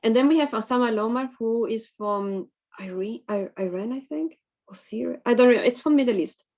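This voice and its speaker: A woman's voice: fairly high pitch, normal speed, darkish tone. A woman presenting at an online teaching conference, speaking informally over a video call and often breaking off and restarting her sentences.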